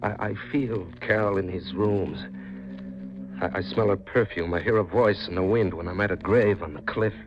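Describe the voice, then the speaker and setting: An actor playing a grief-stricken, obsessed widower in a 1950s radio drama, intense and halting in his speech. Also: sits low, natural voice. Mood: haunted, obsessed. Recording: muffled, noisy 1950s radio recording.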